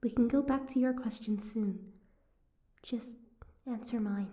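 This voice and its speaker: A woman's voice: fairly high pitch, measured pace, mellow, natural voice. A woman playing a sardonic, guarded captive mermaid in an audio roleplay, with a wry, teasing, prickly manner. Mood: prickly, suspicious.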